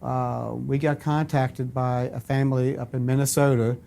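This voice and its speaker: A man speaking as an archivist in a documentary interview, explaining things in a somewhat halting way. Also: mid-range voice, level tone, vocal fry, dark tone.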